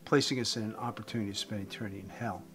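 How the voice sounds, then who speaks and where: A man in his fifties giving an earnest evangelistic talk to camera as a host, phrasing deliberately with emphasis. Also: fairly low pitch, steady tone, fairly bright tone. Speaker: host giving a faith message. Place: indoors, room with wooden beams. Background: guitar music.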